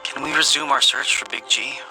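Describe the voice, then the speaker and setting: A man playing a composed, courteous, dignified character in an anime-style video game, speaking in a formal, measured way. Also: mid-range voice, steady tone, over loud music, natural voice, low-quality recording. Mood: calm, businesslike.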